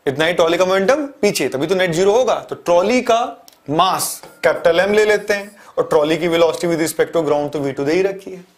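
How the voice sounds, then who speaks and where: A man's voice: high voice, moderate pace. A man in his thirties teaching a physics lesson at a board, explaining step by step and asking and answering his own questions. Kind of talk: classroom lecture. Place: studio in front of a large touchscreen board.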